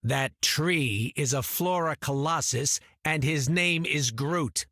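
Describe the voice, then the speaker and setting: Small cheeky raccoon creature, raspy, forceful, streetwise, greedy, video game. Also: male voice, a little high, slow pace, no echo, studio quality, heavily acted. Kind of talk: video game character dialogue.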